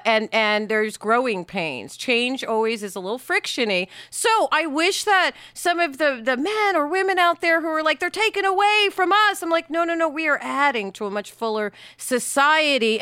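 A woman from New York with an American accent, talking in a casual panel conversation in an animated, emphatic way and acting out imagined quotes. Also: high voice, clear, resonant tone, dry recording, clean studio sound. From New York, USA. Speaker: podcast co-host.

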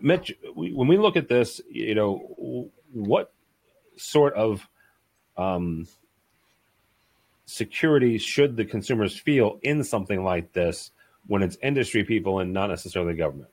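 A man's voice: fairly low pitch, expressive, unhurried, a little mellow. Upbeat man hosting a talk podcast. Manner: jokey, upbeat introductions. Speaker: podcast host.

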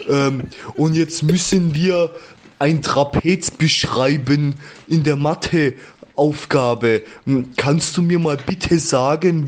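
A man leaving a voice message, haltingly playing a dim schoolboy with a verbal tic. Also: fairly high pitch. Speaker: listener sending a voice message. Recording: noisy amateur voice message.

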